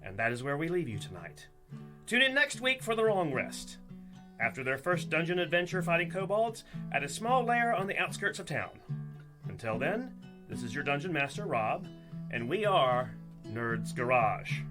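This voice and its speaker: A man's voice: fairly high pitch, sing-song, moderate pace, music in the background. A man narrating a scripted fantasy adventure as its Dungeon Master.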